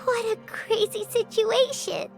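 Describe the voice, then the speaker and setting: A woman voicing a small, excitable, tender-hearted fairy companion in an anime game, with a squeaky childlike voice. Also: slow pace, vocal fry, soft background music, exaggerated voice. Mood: distraught.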